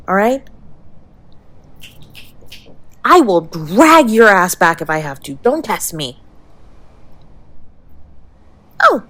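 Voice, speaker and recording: Bossy, higher-pitched young woman, acted by a voice actress. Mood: sarcastic, scolding. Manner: brusque, bossy and teasing with urgent pleading. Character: tough, sharp-tongued young woman, secretly tender, scared for lover. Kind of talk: intimate roleplay audio drama addressed to the listener.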